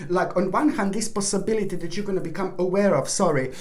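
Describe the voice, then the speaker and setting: A woman with a voice giving a rambling tarot horoscope reading, often restarting and apologizing, while losing her voice and clearing her throat. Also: fairly low pitch, fast talker, a little mellow. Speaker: tarot reader.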